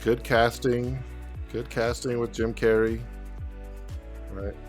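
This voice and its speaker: A man hosting a casual podcast chat in a relaxed way. He chuckles often and reads figures off his notes. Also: medium pitch, slow pace, faint music behind. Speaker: podcast host.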